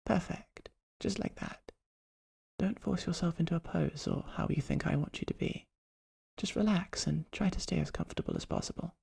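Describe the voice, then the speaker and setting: Shy, husky vampire artist performed in soft ASMR. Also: medium pitch, normal speed, heavily acted. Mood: admiring, gentle. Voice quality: breathy, husky, often near whisper. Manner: polite, hesitant, gently formal. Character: shy, polite vampire artist, earnest and poetic. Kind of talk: soft-spoken ASMR roleplay.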